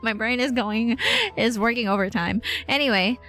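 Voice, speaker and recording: A woman game streamer chatting casually live, thinking out loud, exclaiming and swearing freely. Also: on the high side, moderate pace. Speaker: streamer reacting to a role-playing game. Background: game music behind the voice.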